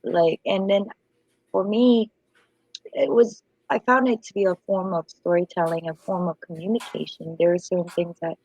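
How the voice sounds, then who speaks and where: Husky woman, native Vietnamese speaker, under the weather. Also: fairly low pitch, steady tone, moderate pace, a little mellow, muffled recording. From Asia. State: voice off from a lingering illness. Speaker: podcast guest, illustrator. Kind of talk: casual chat.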